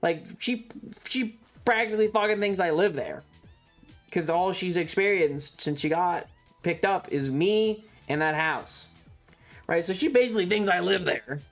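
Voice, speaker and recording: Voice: mid-range voice, measured pace, faint music behind. A streamer telling a casual, rambling story, swearing freely and repeating words for emphasis.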